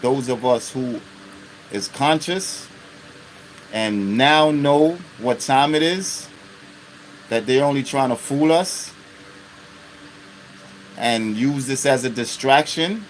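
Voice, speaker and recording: A man's voice: mid-range voice, slow, pauses often, slight background noise, rough recording. A man in his fifties hosting an unscripted live stream, talking bluntly and profanely and often checking in with his listeners. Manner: blunt and profane, with constant rhetorical check-ins.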